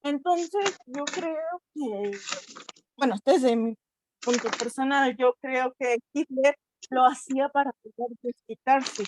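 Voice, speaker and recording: A sixteen-year-old Mexican girl with a Mexican accent, speaking earnestly at a youth colloquium, often pausing to search for words and restarting her sentences. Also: high voice, a little mellow, a little hiss or hum, low-quality recording. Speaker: essay contest participant. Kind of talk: unscripted talk at a youth colloquium.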